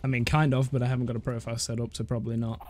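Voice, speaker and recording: A man chatting casually in a game voice chat, joking in a deadpan way. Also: mid-range voice, very fast, a little hiss or hum. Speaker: gamer and video creator trolling teammates.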